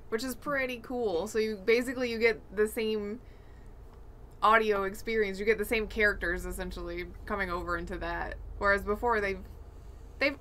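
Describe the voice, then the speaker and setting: A woman's voice: on the high side, pushed and bright, a little hiss or hum. A woman co-hosting a casual gaming chat over an online video call. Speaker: podcast co-host.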